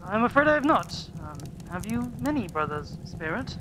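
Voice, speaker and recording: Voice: medium pitch, very animated, moderate pace, background noise, natural voice. A performer playing a frightened, humbled old miser in a radio play. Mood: timid, humbled.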